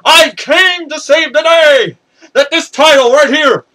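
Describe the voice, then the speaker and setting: High, bright, brash man boasting. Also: unhurried. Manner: brash, self-congratulating. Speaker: wrestler cutting a promo. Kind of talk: boastful wrestling promo.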